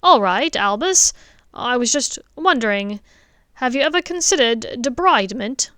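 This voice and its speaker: A woman reading the part of an earnest, slightly nervous middle-aged male dentist, speaking louder and eagerly. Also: fairly high pitch, expressive, moderate pace, clean tone, dry recording, studio quality.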